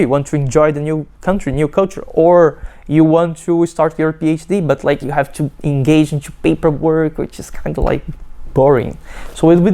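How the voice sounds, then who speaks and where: A young man from Brazil with a Brazilian Portuguese accent, a PhD student giving a halting testimonial full of fillers and restarts. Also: a little high, mellow. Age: young adult. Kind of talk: testimonial interview.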